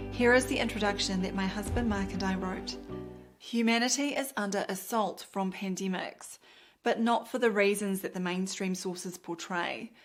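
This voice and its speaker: Kiwi woman reading her book aloud. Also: medium pitch. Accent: New Zealand. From New Zealand. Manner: measured, deliberate reading. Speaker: doctor and author narrating her audiobook. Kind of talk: scripted audiobook narration.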